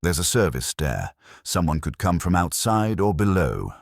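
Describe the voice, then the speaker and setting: A man's voice: low voice, sing-song, normal speed, gravelly, no echo, clean studio sound, heavily acted. A man reading the part of a wry, watchful detective's assistant in an audiobook, with clipped hardboiled dialogue. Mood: brisk, reporting.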